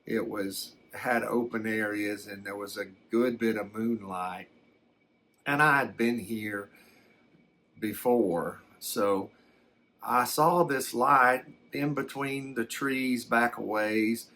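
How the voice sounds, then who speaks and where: A man voicing a plainspoken, folksy fellow recounting a strange encounter in halting, rambling, unhurried ASMR storytelling. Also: on the low side, fairly even intonation, sharp tone, exaggerated voice. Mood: musing, matter-of-fact. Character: folksy man recounting his alien abduction.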